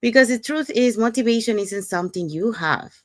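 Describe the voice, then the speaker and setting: A woman's voice: fairly high pitch, lively intonation, brisk, dry recording, studio quality. A woman from Panama co-hosting a promotional talk show, upbeat, often starting with "so". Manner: upbeat, with repeated "so" openers. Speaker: podcast co-host.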